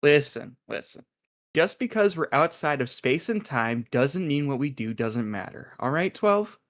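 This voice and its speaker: A man's voice: a little high, big pitch swings, measured pace. Chummy, wry agent played by a man. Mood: friendly placating. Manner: wry, upbeat, placating. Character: easygoing, chummy male agent, teasing coworker. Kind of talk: audio drama table read.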